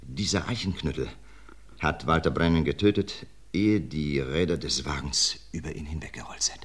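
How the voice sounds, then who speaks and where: A methodical police doctor, carefully reviewing his own earlier mistake. His voice sits very low and has a husky edge. He speaks in a measured, precise manner, as in a vintage radio crime play. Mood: earnest. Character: older male police doctor, methodical and self-critical. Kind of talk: vintage radio drama acting.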